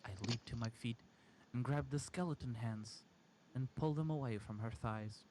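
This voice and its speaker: A man reading a first-person horror story aloud in a halting, dramatic way. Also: medium pitch, a little mellow, slight background noise, rough recording. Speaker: amateur story reader.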